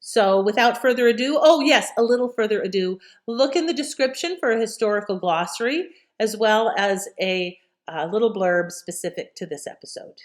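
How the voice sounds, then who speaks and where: A woman's voice: medium pitch, moderate pace, few pauses. A woman hosting an old-time radio programme, introducing it in a casual, conversational, musing way.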